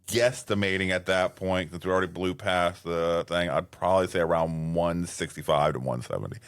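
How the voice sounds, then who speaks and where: A man chatting casually on a podcast, measured and sprinkling in "you know". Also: low voice, fairly even intonation, clean tone. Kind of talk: casual chat.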